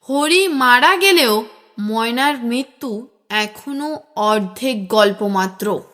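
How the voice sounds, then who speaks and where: A woman with a high voice narrates a suspense story. She takes her time, delivering each sentence in a measured, dramatic storyteller's style. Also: fairly bright tone. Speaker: narrator of an audio detective story.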